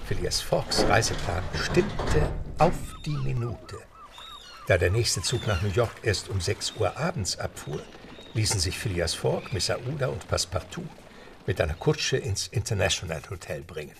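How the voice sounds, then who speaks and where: A man's voice: on the low side, sing-song, moderate pace, gravelly. A man narrating an adventure tale in a German audio drama, scripted and dramatic. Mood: measured storytelling. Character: male storyteller of an adventure tale. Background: music.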